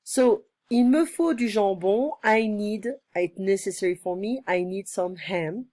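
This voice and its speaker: A woman tutoring one-on-one, her voice slightly hoarse from illness, explaining patiently and repeating phrases. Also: medium pitch, unhurried, darkish tone, dry recording. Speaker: French tutor. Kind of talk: one-on-one online language lesson.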